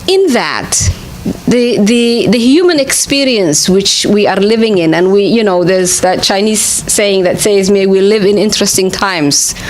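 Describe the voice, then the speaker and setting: A woman's voice: medium pitch, slight background noise. A woman speaking at a conference, giving a reflective, partly improvised speech in a conversational way, often checking in with the listeners. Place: conference venue.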